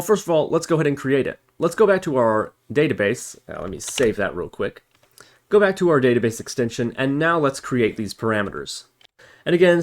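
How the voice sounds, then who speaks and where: A man giving an informal coding tutorial, thinking aloud as he works through each step. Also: mid-range voice. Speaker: programming tutorial instructor. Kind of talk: casual screencast coding tutorial, talking through steps while typing. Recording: background hiss, keyboard clicks and typing. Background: computer keyboard typing and mouse clicks.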